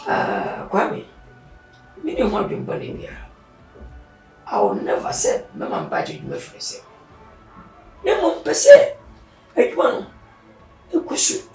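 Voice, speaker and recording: A man putting on a shrieking, excitable character who wails, squeals and protests. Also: sits high, gravelly, pitched above the performer's own voice, heavily acted. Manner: squealing, laughing and whining. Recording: roomy, distant mic with music.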